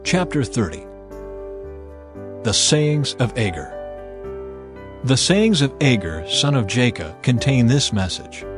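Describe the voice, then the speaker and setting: A man giving a dramatized, measured and emphatic scripture narration as an audio Bible reader. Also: mid-range voice, big pitch swings. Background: background music.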